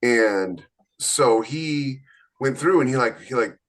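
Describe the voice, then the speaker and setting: A man's voice: mid-range voice, animated, slow. A man co-hosting a casual writers' podcast, talking emphatically and giving firm advice. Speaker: podcast co-host. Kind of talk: casual panel chat.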